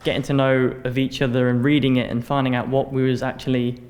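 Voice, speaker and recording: A man's voice: medium pitch, level tone, fast talker, a little mellow. A young man talking casually in an interview as a student performer.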